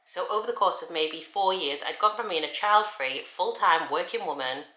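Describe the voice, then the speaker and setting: A woman, chatting candidly in a sit-down vlog. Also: normal speed. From England. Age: 30s. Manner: chatty and candid, lively shifts in pitch. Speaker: vlogger. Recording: echoey room.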